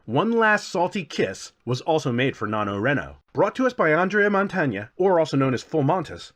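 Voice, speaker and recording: A man's voice: fairly high pitch, a bit bright. A man hosting a casual scripted game review with playful, self-deprecating asides. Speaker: video game reviewer and channel host.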